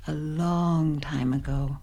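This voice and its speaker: An actress in a radio play voicing a grave woman who recalls old times in a measured way. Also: sits low, fairly even intonation, dark tone, full character voice. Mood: subdued. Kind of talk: radio drama acting and narration.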